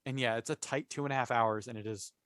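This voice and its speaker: A man speaks casually as a podcast panelist, enthusiastic and emphatic about what he discusses. His voice sits at a middling pitch, recorded close and dry with very little room sound. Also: steady tone, fast talker, a bit bright, clear, resonant tone, no echo.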